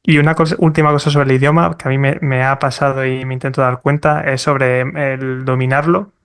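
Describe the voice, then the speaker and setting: A man on a casual podcast panel, speaking in a thoughtful, measured way. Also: mid-range voice, little pitch movement, fairly bright tone, clean tone.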